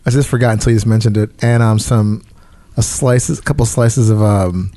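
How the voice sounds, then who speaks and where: A man's voice: lowish voice, fairly even intonation, normal speed, dark tone, no echo. A man co-hosting a podcast in casual chat, laid-back and joking. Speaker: podcast co-host.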